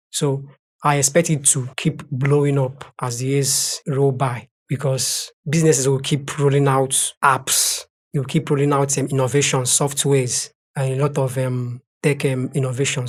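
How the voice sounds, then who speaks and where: A man's voice: mid-range voice, little pitch movement, normal speed. A young Nigerian man, a native Igbo speaker with a Nigerian accent, answering interview questions earnestly and often repeating words as he thinks. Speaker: guest translator.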